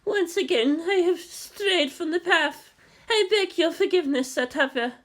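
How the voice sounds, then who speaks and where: Man putting on a high-pitched, timid, mournful nun. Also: a bit bright. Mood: mournful, contrite. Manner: slow, formal, apologetic, stammering. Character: timid, mournful, guilt-ridden Buddhist nun.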